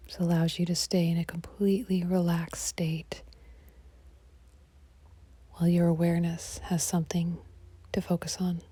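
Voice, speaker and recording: Female yoga nidra guide: soft half-whisper, mellow tone, close dry sound, calming delivery. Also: fairly low pitch, normal speed, pauses often, husky, dry recording. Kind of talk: guided relaxation meditation.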